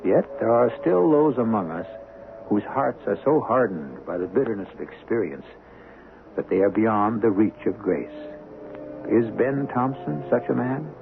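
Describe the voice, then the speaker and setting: An American man hosting a radio drama with solemn, measured, rhetorical scripted narration. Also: lowish voice, expressive, vocal fry, noisy background. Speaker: host and narrator. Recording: tinny vintage radio broadcast, music behind.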